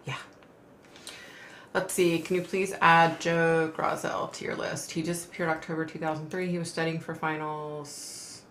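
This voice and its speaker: A middle-aged woman hosting a casual livestream from home, reading viewer comments aloud and reacting in a chatty, rambling way while her voice goes hoarse. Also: deep voice, level tone, a little slow, a bit bright, roomy sound, a little hiss or hum. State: losing her voice.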